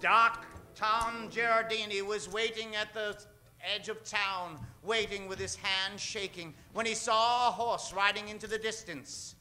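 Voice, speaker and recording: A man's voice: high voice, steady tone, measured pace, pushed and bright. A man narrating and announcing in a theatrical old-time radio style, melodramatic and playful.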